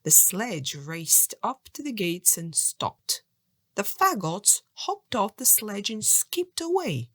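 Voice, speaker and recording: A woman narrating a children's folk tale audiobook in an expressive, scripted storytelling style. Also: mid-range voice, slow, slightly rough, no echo, studio quality.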